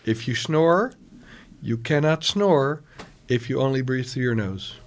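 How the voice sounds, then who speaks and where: A man's voice: medium pitch, lively intonation, unhurried. A man co-hosting a casual podcast, eagerly explaining things and often repeating his words as he goes. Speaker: podcast co-host. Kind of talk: casual chat.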